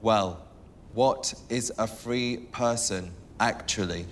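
A man playing a former activist of the post-war generation in a film, deliberate and philosophical as he ponders freedom. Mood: pondering. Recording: long reverb, rumbling background noise.

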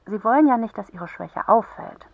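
A woman playing a kind, patient helpline counsellor for children in a radio play, gently explaining and encouraging. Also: a little high, lively intonation, normal speed, no echo, rough recording. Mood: thoughtful, explanatory.

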